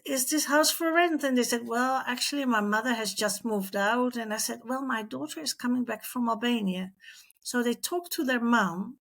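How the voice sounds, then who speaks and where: Middle aged lady on an interview, highish voice, telling stories and doing the he said i said bits. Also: fairly bright tone. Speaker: interview guest, former missionary. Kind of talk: personal storytelling in an interview.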